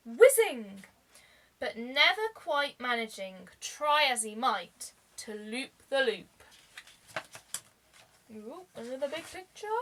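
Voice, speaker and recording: A woman reading a children's story aloud like a teacher, expressively, with deliberate dramatic emphasis. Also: high-pitched, a bit bright.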